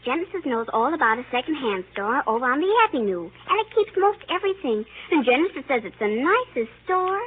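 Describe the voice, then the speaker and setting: A woman's voice: sits high, lively intonation, brisk, few pauses, slightly rough. An actress playing an eager, chattering little girl in 1930s radio drama, telling tales in childish, insistent run-on sentences. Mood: important, insistent. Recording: tinny, hissy old 1930s radio recording.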